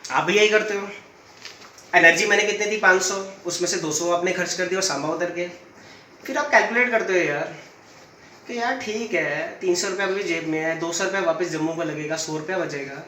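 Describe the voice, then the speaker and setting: Man, hoarse, physics teacher, high pitch, echoey room heard from a distance, faint hiss. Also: fairly quick, sharp tone. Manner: asks questions and answers them himself; uses everyday stories as examples. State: sore throat. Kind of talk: classroom lecture.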